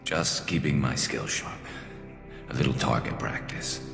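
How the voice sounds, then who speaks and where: A man voicing a wry male alien sniper vigilante in a video game cutscene, speaking in a deadpan, dry way. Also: very deep voice, a little slow, vocal fry, over music, full character voice. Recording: reverberant game mix with score.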